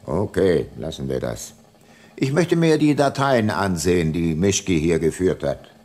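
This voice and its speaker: An actor playing a brooding, probing private detective, narrating his case in a measured way in a radio crime drama. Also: low voice, very animated, darkish tone, heavily acted.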